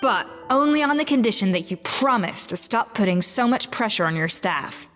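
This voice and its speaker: Principled female head chef, firm and measured, with reverb and soft game music. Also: on the high side, animated. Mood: stern.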